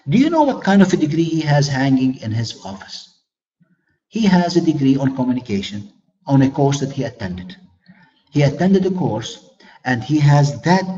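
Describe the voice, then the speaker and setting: A man's voice: fairly high pitch, a little mellow, lo-fi sound. A man whose first language is Arabic, giving a webinar lecture on leadership as a corporate trainer, earnest and fond of rhetorical questions and repeated phrases.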